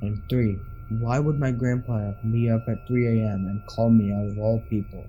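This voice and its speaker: Male horror-story narrator, lowish and mellow, scripted read over background music. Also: steady tone, normal speed, highs cut off. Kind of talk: scripted narration of scary true stories.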